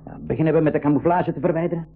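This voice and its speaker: A man's voice: a little high, fairly even intonation, natural voice. A man with a Flemish accent playing an earnest, authoritative professor uncle who leads adventurers in a school radio play. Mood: businesslike. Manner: deliberate, authoritative, emphatic. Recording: muffled old radio recording, faint noise.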